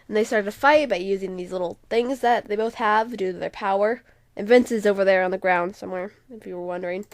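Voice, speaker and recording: A woman gives an informal, unscripted commentary on a video in a medium-pitched voice. She rambles and often lets her sentences trail off. The sound is dry and close, with little room in it. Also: fairly quick, no room sound. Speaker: video creator explaining own roleplay series. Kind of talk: casual unscripted walkthrough commentary.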